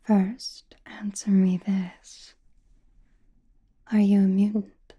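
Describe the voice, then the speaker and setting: A woman playing an enigmatic, knowing sorceress in an ASMR roleplay, in a soft breathy whisper, speaking slowly and cryptically straight to the listener. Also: mid-range voice, flat delivery. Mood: wry, guarded. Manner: slow, deliberate, cryptic, addressing listener intimately.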